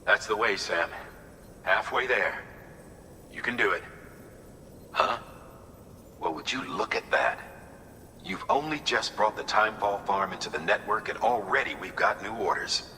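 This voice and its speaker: A man's voice: fairly low pitch, lively intonation, measured pace, long pauses, pushed and bright, long reverb tail, a little hiss or hum. An actor playing an encouraging male support operator in a video game. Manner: encouraging, matter-of-fact. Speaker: remote support contact.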